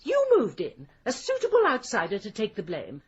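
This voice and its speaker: An actress playing a spiteful, mocking village poisoner with an English accent in a radio drama. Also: female voice, a little high, wildly swooping pitch, moderate pace, husky, lo-fi sound, exaggerated voice. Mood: bitter, reminiscing. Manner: mocking, theatrical, condescending.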